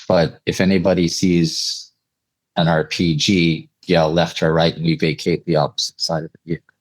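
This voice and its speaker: Very deep-voiced man, conversational storyteller. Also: flat delivery, moderate pace, dry recording, rough recording. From Eastern Canada. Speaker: interview guest, air traffic controller telling stories.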